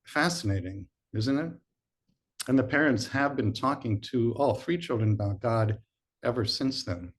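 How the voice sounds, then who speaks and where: A man hosting a group session who sounds congested from a sinus infection and tells stories in a relaxed way, with some uhs and repeated words. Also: mid-range voice, normal speed. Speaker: host. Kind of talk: casual spoken talk to a group.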